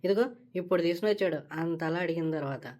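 A woman's voice: lowish voice, quick pace, mellow, lightly acted. Woman voicing a brash, scheming young office guy. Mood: flattering, wheedling. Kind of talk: Telugu comedy cartoon dialogue.

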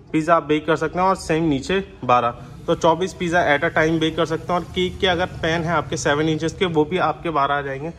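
A man's voice: fairly high pitch, fairly bright tone. A man giving an unscripted, step-by-step product demonstration, mixing in English technical terms. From India.

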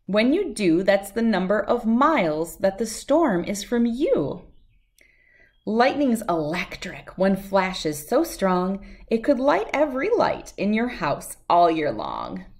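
Middle-aged female storybook reader, mid-pitched, measured sing-song delivery of rhyming children's verse. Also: wildly swooping pitch, darkish tone. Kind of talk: read-aloud of a rhyming picture book.

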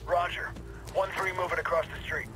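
A man playing a brisk special forces operator. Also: on the high side, music in the background, close to an everyday voice, poor audio. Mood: tense. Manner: brisk tactical radio talk. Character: male special forces operator calling positions. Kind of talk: video game combat dialogue. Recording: game audio over loud gunfire.

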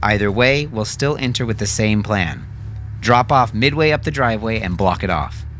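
A man voicing a brisk, businesslike military captain who gives clipped, authoritative orders in an audio drama. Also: on the low side, a bit bright, slight background noise, natural voice.

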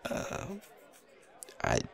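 A young man playing a shy, self-deprecating new coworker in an intimate audio roleplay, speaking hesitantly and getting flustered. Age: young adult. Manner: hesitant, flustered, stammering, nervous chuckles. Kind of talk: intimate audio roleplay, one side of a conversation.

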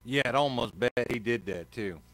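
A man's voice: medium pitch, normal speed, a bit bright. A man chatting casually on a noisy online group call, laid-back and brief in his remarks. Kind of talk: casual chat. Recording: noisy, humming online voice chat.

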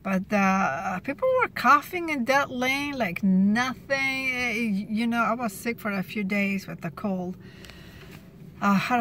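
A woman's voice: on the high side, sharp tone, slight background noise. Chatty woman vlogging from her car. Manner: chatty, restarts often, laughs at gross moments. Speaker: cashier sharing her workday. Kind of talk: casual car vlog chat. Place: inside a parked car. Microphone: phone camera at arm's length.

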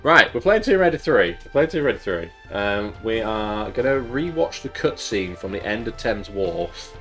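A man's voice: on the low side, big pitch swings, moderate pace, fairly bright tone, faint music behind. Man, bunged up, losing voice, chatty game host, desk mic. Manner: rambling, chatty, jokey asides. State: congested, losing his voice. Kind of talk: casual live-stream chat while playing.

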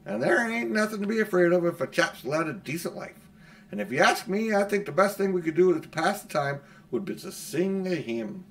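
Man playing a hearty, high-pitched cabbie. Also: expressive, brisk. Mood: hearty, reassuring. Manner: firm, colloquial, reassuring. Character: hearty, cheerful, plain-spoken working-class cabbie.

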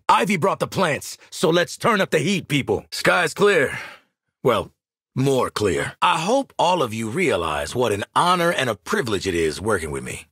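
An actor voicing a cocky, seasoned male mercenary marksman in a video game, husky, with punchy, sardonic, informal delivery. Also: mid-range voice, fairly bright tone, no echo, studio quality, full character voice. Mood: cocky, brisk. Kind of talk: video game combat barks and quips.

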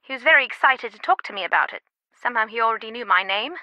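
A woman's voice: fairly high pitch, lively intonation, quick pace, bright, forceful tone, no echo, muffled recording. A woman playing a worldly, wry antiquities expert in an adventure game, talking in a conversational, confiding way. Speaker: video game voice actor. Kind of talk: scripted adventure game dialogue.

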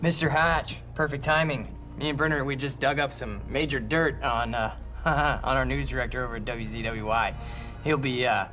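A man acts out a cocky computer hacker in a live-action thriller. He has an American accent and an average-pitched voice. He leans on words with sarcastic relish, over clearly audible music. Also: fairly even intonation, lightly acted. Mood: pleased. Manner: smug, swearing, drawn-out emphasis. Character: cocky, sarcastic male computer hacker bossing his assistant.

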